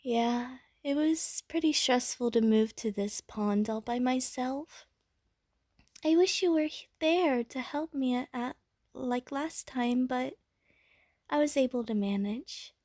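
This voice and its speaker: A woman's voice: high-pitched, takes it slowly, a little mellow, no room sound, clean studio sound. A woman voicing a friendly, bubbly, naive female water slime who rambles chattily to the listener in an audio roleplay. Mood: wistful, warm.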